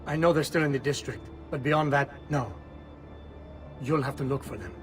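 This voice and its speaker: Guy acting streetwise thief in a game, brisk orders, mid voice, music behind. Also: mid-range voice, lightly acted. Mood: grave. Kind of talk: video game cutscene acting.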